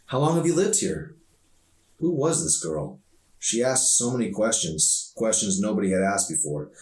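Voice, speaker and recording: A man with a very low voice reads fiction aloud as an author narrating his own book. The sound is echoey, with the microphone some way off. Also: darkish tone. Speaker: author reading own novel. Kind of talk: scripted audiobook narration. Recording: roomy echo, distant microphone.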